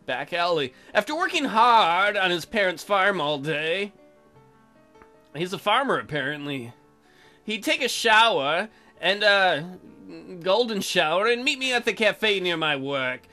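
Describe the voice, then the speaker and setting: A man's voice: sits high, very animated, measured pace, bright, forceful tone, faint music behind. A man giving comedic let's play commentary, reading game text aloud in a goofy, crude, improvised way. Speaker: gaming video host.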